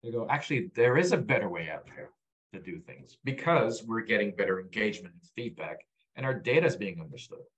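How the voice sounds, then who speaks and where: A man's voice: fairly low pitch, animated, brisk, a bit bright, breathy edge, low-quality recording. A man speaking as a podcast guest, explaining his data work earnestly and repeating his main points with frequent restarts. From Egyptian background, raised abroad. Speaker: guest, founder of a data platform. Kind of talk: conversational podcast interview.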